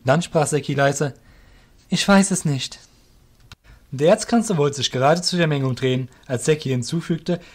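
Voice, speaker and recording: A man's voice: fairly high pitch, brisk, hardly any pauses. A man narrating a scripted fantasy audio drama as its storyteller.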